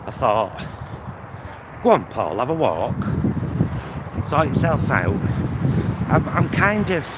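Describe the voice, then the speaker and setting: Slow-talking British man, windy outdoor vlog. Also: medium pitch, big pitch swings. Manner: reflective, hesitant, self-deprecating. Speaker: vlogger. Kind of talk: casual walk-and-talk vlog. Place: outdoors, walking along streets. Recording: windy outdoor recording, loud traffic noise. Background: wind on mic, vehicles, urban ambience.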